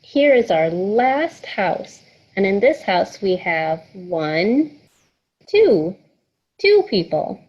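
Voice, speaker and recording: A woman's voice: medium pitch, very animated, drawn-out words, darkish tone, slightly rough, lo-fi sound. A woman hosting a children's story time, reading aloud and counting along in an encouraging, sing-song way. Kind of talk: picture book read-aloud for children.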